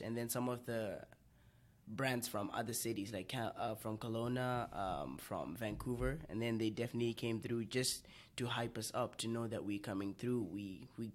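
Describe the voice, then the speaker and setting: A young man from Zimbabwe, a guest in a casual chat, talking loosely with many fillers and restarts. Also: medium pitch. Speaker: guest, clothing brand co-founder. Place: coffee shop.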